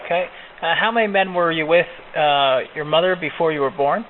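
A man making a prank phone call, asking absurd survey questions in a deadpan, mock-official way. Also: fairly high pitch, brisk. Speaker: prank caller. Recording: phone-like sound, faint noise.

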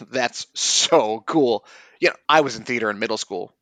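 A man's voice: medium pitch, unhurried, fairly bright tone, no echo, poor audio. A man playing a clueless, chatty male office coworker in a comedy sketch, rambling and tactless. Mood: eager.

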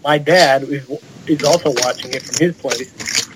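A man hosting a casual podcast chat with a halting, rambling delivery. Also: mid-range voice, fairly even intonation. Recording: clear background noise, clicks.

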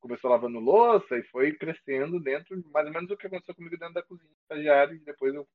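A man's voice: a little high, fast, muffled recording. A man with a Brazilian Portuguese accent, a chef guest chatting casually on a podcast, rambling and correcting himself with a playful streak.

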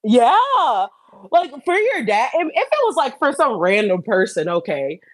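A woman's voice: on the high side, sing-song, a little slow, no echo. A woman co-hosting a casual panel chat, speaking bluntly and opinionatedly with plenty of swearing. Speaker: podcast co-host.

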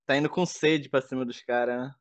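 A man's voice: medium pitch, fairly even intonation, brisk, no echo. A Brazilian man in a casual football chat, giving his opinions as a commentator in slangy everyday speech.

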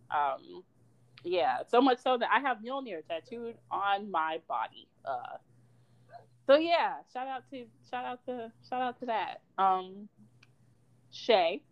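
Casting: twenties woman, podcast co-host, bubbly, laughs often, lively sing-song pitch. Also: lo-fi sound. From Chicago, Illinois. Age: 20s. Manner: bubbly, laughs a lot, animated swings in pitch and volume. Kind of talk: casual chat.

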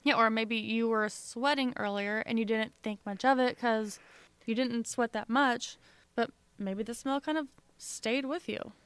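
An easygoing woman co-hosting a podcast, her voice a touch higher than most. The sound is dry and close, with no room around it. She chats casually and agrees along with her partner. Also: measured pace, smooth, no room sound. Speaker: podcast co-host. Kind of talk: casual chat.

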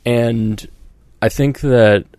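A man's voice: mid-range voice, steady tone, extremely slow, a little mellow, clean tone, no echo. A man hosting a podcast in casual chat, rambling with many fillers and restarts and acting out quoted voices. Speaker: podcast host.

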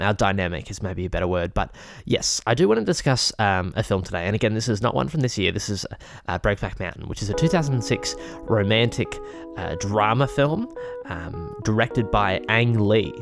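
A man hosting a comedic podcast, talking in a hesitant deadpan full of fillers and restarts, with a voice he calls shrill. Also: vocal fry. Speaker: podcast host. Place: a friend's house.

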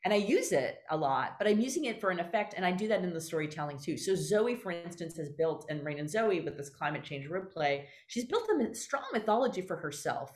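Woman, slightly low-voiced interview guest; conversational, emphatic, prone to tangents. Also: very animated, brisk, few pauses. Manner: effusive, digressive, emphatic. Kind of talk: animated conversational interview talk.

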